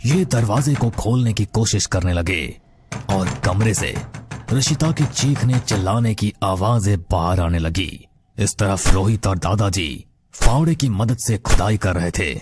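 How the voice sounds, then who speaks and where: Low-pitched man narrating horror. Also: expressive, unhurried, music in the background. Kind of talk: scripted horror story narration.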